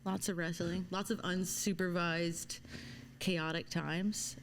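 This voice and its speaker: Chatty woman from Louisiana, low voice. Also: fairly even intonation, takes it slowly, a little hiss or hum. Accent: mild Southern US. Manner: chatty, laughs often, slangy. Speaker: podcast guest. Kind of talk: casual chat.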